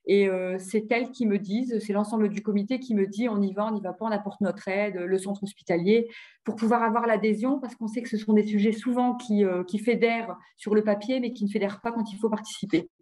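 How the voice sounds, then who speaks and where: A woman's voice: mid-range voice, steady tone, a little fast, mellow. A woman giving an informal webinar slide presentation, often hesitating and repeating words as she looks for her phrasing. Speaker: presenter of local health programmes.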